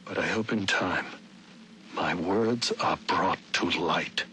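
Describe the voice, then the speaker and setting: A man's voice: low-pitched, slow pace, bright, forceful tone, slight background noise, exaggerated voice. An actor playing an idealistic, haunted nineteenth-century architect confiding in his journal with formal, earnest, old-fashioned diction in a game audio log. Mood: grave, resigned. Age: 30s. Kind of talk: dramatic audio journal narration.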